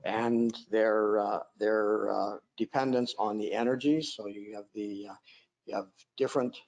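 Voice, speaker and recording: A man's voice: on the low side, fairly even intonation, a little slow, a little mellow, rough recording. A man giving a technical conference lecture over a video call, with a droning voice, often hesitating with fillers and restarts.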